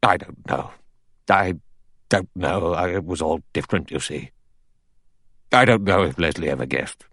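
Man playing elderly general, deep husky voice, halting, distracted, guilt-haunted, resigned, storybook drama. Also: a bit bright, no echo, studio quality. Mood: lost, grieving. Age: older. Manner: halting, distracted, musing aloud. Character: guilt-haunted old general, vague, lonely, resigned to death.

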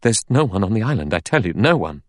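A man voicing a pale, shaken male doctor who reports things in a quick, clipped way. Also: low voice, no room sound, clean studio sound. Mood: trembling.

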